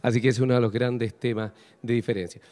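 A man's voice: medium pitch, flat delivery, fast, darkish tone. A Chilean man hosting a radio interview, asking long, probing questions full of fillers. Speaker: radio host. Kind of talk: interviewing a guest.

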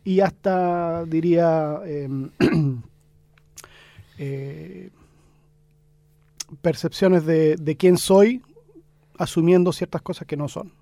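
Man, 50s, mellow tone, dry close sound, hesitant guest. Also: fairly high pitch, fairly even intonation, drawn-out words, pauses often, no echo. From Chile. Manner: thoughtful, hesitant with many fillers. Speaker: guest, academic. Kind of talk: radio interview answers.

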